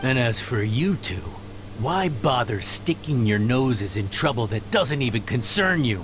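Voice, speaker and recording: Give me a taunting mercenary over noisy ambience. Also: male voice, medium pitch, very animated, unhurried.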